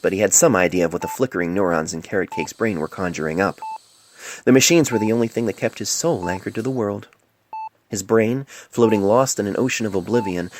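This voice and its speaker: A man reading a dramatic story in a somber, deliberate manner, recorded on a cell phone mic. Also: low voice, darkish tone, a little hiss or hum. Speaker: author reading own story. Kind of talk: dramatic scripted narration. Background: music and sound effects.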